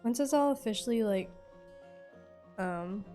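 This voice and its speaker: A woman's voice: mid-range voice, animated, measured pace, dark tone. A young woman giving casual, slangy, offhand commentary while streaming a game. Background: faint game music.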